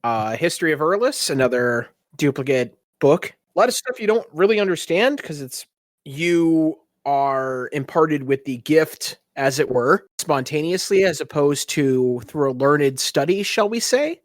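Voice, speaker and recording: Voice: mid-range voice, lively intonation, measured pace, no room sound. Dry close-sounding game master, mid-range voice. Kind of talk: improvised narration for a tabletop game.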